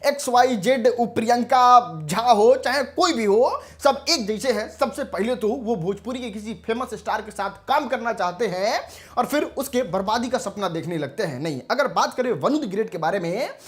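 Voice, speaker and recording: A woman's voice: fairly high pitch, animated, moderate pace. A woman giving informal gossip commentary to viewers in a dramatic, emphatic, teasing manner. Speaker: commentary channel host.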